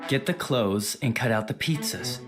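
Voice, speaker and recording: An actor playing an aloof, calculating teenage student with haughty, clipped, articulate speech in an anime audio drama. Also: male voice, mid-range voice, expressive, moderate pace, over music, close to an everyday voice. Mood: exasperated.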